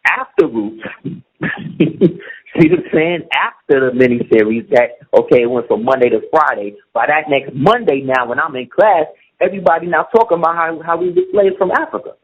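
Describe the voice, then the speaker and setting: Emphatic man with a husky voice. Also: a little high, expressive, moderate pace. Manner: emphatic, keeps asking "you see what I'm saying". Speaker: guest. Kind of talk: casual conversation. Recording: muffled lo-fi audio.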